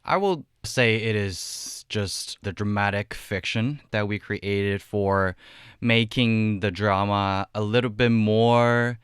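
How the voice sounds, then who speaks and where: Hesitant, low-voiced man, slow and clear. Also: dry recording, clean studio sound. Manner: hesitant, halting. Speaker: podcast panelist answering quiz questions. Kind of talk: casual chat.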